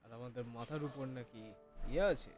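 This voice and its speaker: A man's voice: medium pitch, steady tone, racing. A man giving chatty, casual gameplay commentary to his viewers, mixing in English words. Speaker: gaming streamer. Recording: game music behind the voice.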